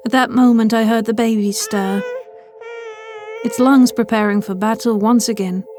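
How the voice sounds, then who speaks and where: A woman narrating a scripted first-person horror story as an audio fiction reader. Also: mid-range voice.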